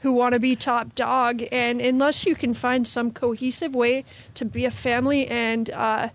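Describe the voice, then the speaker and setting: Higher-voiced woman in emphatic casual chat. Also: little pitch movement, moderate pace. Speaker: improv performer, guest.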